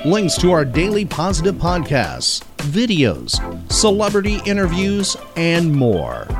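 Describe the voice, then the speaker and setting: A man with a fairly high, very animated voice reads a scripted podcast outro. Loud music plays behind him. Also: a little slow. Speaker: podcast host.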